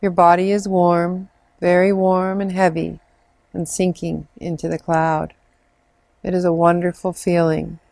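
A woman leading a guided imagery meditation in a soothing, measured manner with long pauses. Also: lowish voice, level tone, creaky, darkish tone, no room sound. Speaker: meditation guide, clinical social worker.

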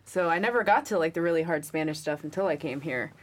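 A woman's voice: low voice, fast. A young woman from New York, a guest in a casual interview, talking about herself in a chatty, wry way with many fillers. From New York, USA. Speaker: guest, Marine Spanish linguist.

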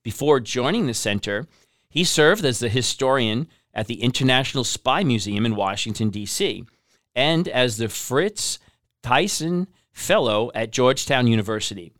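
A man's voice: medium pitch, expressive, normal speed, a bit bright, no echo, clean studio sound. A man hosting an interview podcast, upbeat and engaged as he introduces and questions his guest. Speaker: podcast host. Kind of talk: hosted interview.

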